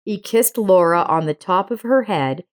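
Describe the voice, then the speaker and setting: Woman narrator, slightly low-pitched voice. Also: lively intonation, moderate pace. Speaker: story narrator. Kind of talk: scripted story narration.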